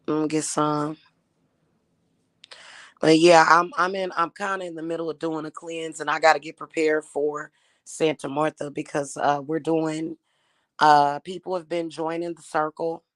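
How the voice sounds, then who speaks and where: A woman in her thirties, a spiritual YouTuber talking casually to her viewers, her voice slightly hoarse and her talk aggrieved and repetitive. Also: low voice, no echo. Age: 30s. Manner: aggrieved and repetitive, with frequent fillers.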